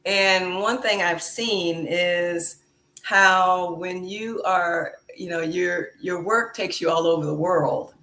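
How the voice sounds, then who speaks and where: A woman hosting her podcast in a casual chat, speaking with frequent fillers and restarts. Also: on the low side. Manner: slow, warm-hearted, with many fillers. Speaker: podcast host. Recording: echoey room.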